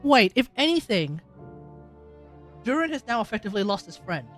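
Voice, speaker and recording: A man reacting casually to a game as he streams, halting and thinking aloud. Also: high-pitched, big pitch swings, fairly bright tone. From Australia. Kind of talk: casual live gameplay reaction. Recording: faint game music underneath. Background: game music.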